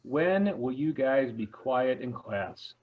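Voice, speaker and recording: A man playing an exasperated but game high school teacher in an amateur script read over a video call. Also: animated, slow. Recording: tinny phone-like video call.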